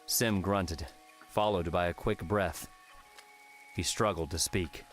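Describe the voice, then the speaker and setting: A man's voice: low voice, takes it slowly, soft background music. A man narrating a fantasy adventure audiobook from a script.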